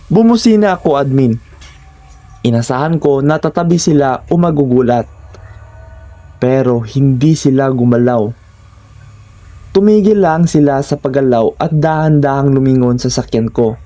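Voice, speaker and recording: A man with a Filipino accent reading a scripted first-person horror story in measured, short suspenseful phrases. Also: on the high side, mellow, dull audio.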